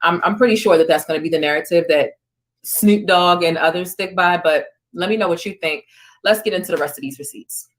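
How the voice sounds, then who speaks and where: A woman's voice: on the low side, fairly quick. A woman hosting an online news stream, reading screenshots aloud and commenting in a conversational, informal way. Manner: conversational, informal, with asides and reactions.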